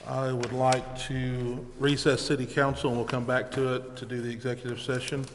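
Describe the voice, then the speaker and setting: A man's voice: mid-range voice, flat delivery, moderate pace. Man chairing a meeting, echoey noisy chamber. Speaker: presiding officer of a city council meeting. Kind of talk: formal meeting procedure. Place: indoor council chamber. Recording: echoey chamber, background noise.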